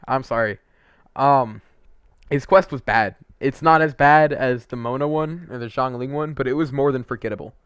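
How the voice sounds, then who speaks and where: A man's voice: medium pitch, fairly bright tone, clear, resonant tone, dry recording, studio quality. A man giving casual, opinionated game review commentary, informal and emphatic, stretching words for emphasis.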